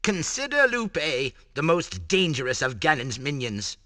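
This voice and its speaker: Wise old wizard guide, theatrical, slow-paced delivery with lively swoops, cartoon game cutscene. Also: male voice, fairly high pitch, no echo, studio quality, heavily acted. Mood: solemn, theatrical.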